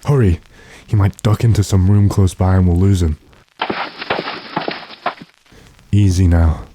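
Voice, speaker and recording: A man playing a stern, determined gentleman in a radio drama, speaking in a commanding, impatient way. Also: deep voice, fairly even intonation, moderate pace, very noisy, close to an everyday voice. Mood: intent, commanding. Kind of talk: classic radio drama acting.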